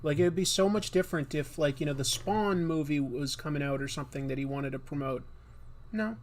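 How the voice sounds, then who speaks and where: A man's voice: fairly high pitch, a little fast. A man hosting a casual comics livestream while he draws, chatty and rambling, with a slightly nasal voice. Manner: rambling, chatty, with many fillers and restarts. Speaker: livestream host and comic artist.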